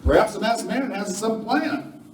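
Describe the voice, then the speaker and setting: Man acting a high-pitched, earnest western lookout. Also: measured pace. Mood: alarmed. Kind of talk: amateur live western radio play. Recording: echoey hall, distant mic, noisy.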